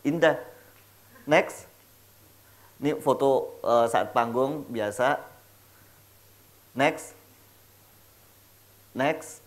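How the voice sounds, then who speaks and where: A man's voice: mid-range voice, extremely slow, pauses often. A man hosting a casual, playful interview, slangy and mixing in English words. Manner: jokey, slangy, peppers talk with English words. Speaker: talk show host.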